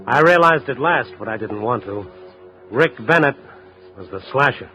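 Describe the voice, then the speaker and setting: An actor playing a tough, dogged night-beat newspaper reporter in a 1950s radio drama, narrating and talking in a terse, urgent, hard-boiled way. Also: male voice, medium pitch, expressive, normal speed, muffled recording, loud music behind the voice, close to an everyday voice. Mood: grim, tense.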